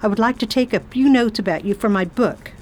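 A woman's voice: fairly high pitch, a little fast, a little hiss or hum, close to an everyday voice. A woman playing an imperious, curious English lady traveller in an audio drama, speaking in a formal, old-fashioned way. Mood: commanding, scornful. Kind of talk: audio drama acting and diary narration.

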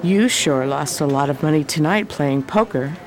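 A woman's voice: low voice, moderate pace, crowd noise, lightly acted, low-quality recording. A woman playing a bold, curious, teasing heroine in a 1920s period radio crime drama. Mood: probing, teasing. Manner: sociable, probing, slangy.

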